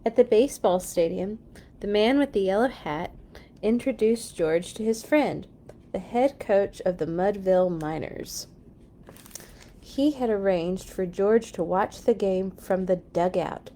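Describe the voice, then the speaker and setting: A woman reading a children's story aloud in an expressive, playful way for young listeners. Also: mid-range voice, a little slow. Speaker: children's read-along storyteller. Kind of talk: storybook read-aloud with animated asides.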